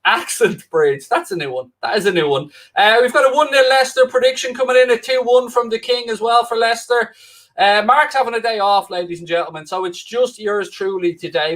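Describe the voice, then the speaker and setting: Cheeky Irish man with a high voice. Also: fairly bright tone. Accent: Irish, Dublin-Wicklow. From Ireland. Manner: cheeky, self-mocking banter. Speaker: fill-in host of a football watchalong. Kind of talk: casual live-stream chat reading viewer comments. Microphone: new microphone, close.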